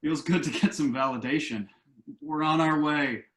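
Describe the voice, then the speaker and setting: A man acting in a play reading as an earnest, slightly flustered hopeful entrepreneur, speaking haltingly. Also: on the high side, a bit bright, close to an everyday voice. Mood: relieved, grateful. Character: earnest adult son and hopeful entrepreneur, husband, somewhat flustered. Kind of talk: virtual stage play reading. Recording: roomy, echoing home recording.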